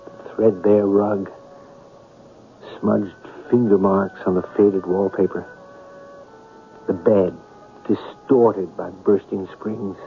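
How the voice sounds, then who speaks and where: An actor playing a brooding storyteller in a 1970s radio drama, speaking in a deliberate, ruminative way with dramatic pauses. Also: male voice, lowish voice, creaky. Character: brooding male storyteller haunted by a mystery. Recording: muffled vintage radio broadcast with music.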